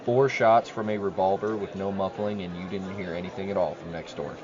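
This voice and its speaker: A man's voice: low-pitched, fairly even intonation, brisk, crowd noise, close to an everyday voice. A man playing a probing, persistent suburbanite questioning neighbors in an audio drama. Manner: questioning, insistent. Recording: muffled, clearly noisy track.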